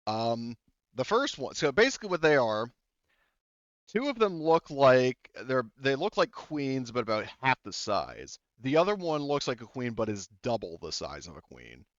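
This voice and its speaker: A man running a tabletop game as game master, explaining rules in a halting way with many fillers, on a slightly dull lo-fi mic. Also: mid-range voice, big pitch swings, no echo.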